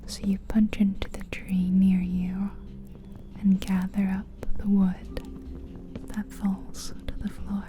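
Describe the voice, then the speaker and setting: A woman whispering gently in a breathy, soothing ASMR style, guiding a relaxing visualization with long unhurried pauses. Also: mid-range voice, level tone, slight background noise. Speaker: ASMR guide narrating a guided visualization. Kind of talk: soft-spoken ASMR guided visualization. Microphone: close-up ear-to-ear ASMR microphone.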